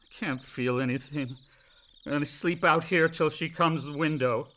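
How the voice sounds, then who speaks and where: An actor playing a drunk, bitter, self-mocking son with slurred, sardonic delivery in a radio play. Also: male voice, on the high side, moderate pace, bright, forceful tone. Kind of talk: naturalistic radio play acting.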